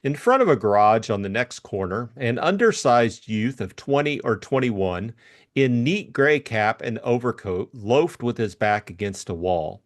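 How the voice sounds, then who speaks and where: Male audiobook narrator and co-host, mid-pitched, highly animated intonation, for classic crime fiction and book talk. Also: measured pace. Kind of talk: scripted story narration and book discussion.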